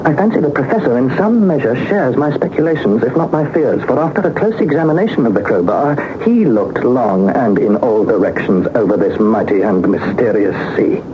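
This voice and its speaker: A noisy, dull 1960s radio broadcast carries a man acting a young British gentleman adventurer. He narrates his journal in formal, deliberate phrases. The delivery is theatrical and very animated, full of anxious awe. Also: mid-range voice, close to an everyday voice. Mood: fearful.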